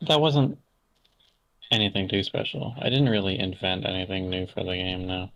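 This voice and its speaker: A young Canadian man with a low, gravelly voice full of vocal fry, speaking casually as a podcast guest. He rambles and hesitates, restarting his sentences and leaning on filler words. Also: normal speed, fairly bright tone. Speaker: interview guest.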